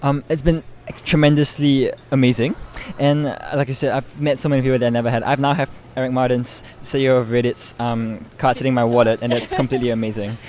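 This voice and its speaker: A man's voice: medium pitch, flat delivery, fast, few pauses, a little mellow. A young man from Singapore giving informal interview answers as a guest, searching for his words as he goes. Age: young adult. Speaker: interview guest, medical student and competition winner. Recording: clear background noise, urban ambience.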